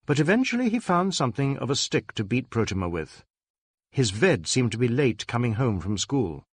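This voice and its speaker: A man's voice: medium pitch, big pitch swings, moderate pace, slightly rough, no room sound, studio quality. A man reading a detective novel aloud as scripted dramatic narration. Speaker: audiobook narrator.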